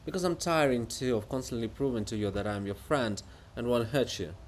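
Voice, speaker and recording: A man reads as a living monument in a stage farce, tired of it all and coolly scolding. The delivery is measured, dry and cutting, sitting well below the heated pitch of a rant. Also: lightly acted. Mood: weary reproach. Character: weary, sardonic Hohol monument, blunt and coolly scolding. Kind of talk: dramatic reading of a stage farce.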